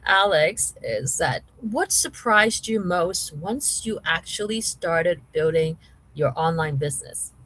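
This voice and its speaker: A woman's voice: lowish voice, animated, takes it slowly, a bit bright, dry recording, poor audio. A middle-aged woman from Hong Kong who was raised in Canada, hosting a live online interview. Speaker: host and interviewer.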